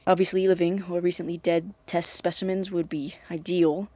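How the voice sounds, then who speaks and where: Man acting a halting, secretive young scientist. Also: high-pitched, level tone, darkish tone, dry recording, close to an everyday voice. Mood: conflicted, driven. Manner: halting, deliberate, formal wording. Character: secretive, obsessive young male scientist recording experiment logs. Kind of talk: dramatic audio-diary monologue.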